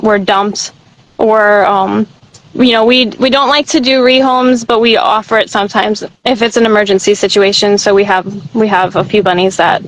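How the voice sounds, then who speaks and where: A woman's voice: fairly even intonation, moderate pace, a little hiss or hum, low-quality recording. A woman who founded an animal sanctuary, talking casually on a phone video call from outdoors as she walks among the animals. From United States. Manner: slips into a high-pitched pet voice with animals.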